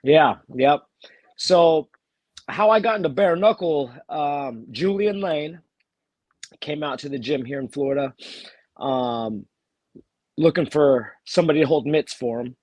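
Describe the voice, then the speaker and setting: A fighter from Texas, talking casually as an interview guest while nursing a cold, with many fillers and restarts. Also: male voice, fairly high pitch, lively intonation, a little slow, pauses often, darkish tone, dry recording. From Texas, USA. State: has a cold. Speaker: bare-knuckle fighter, guest.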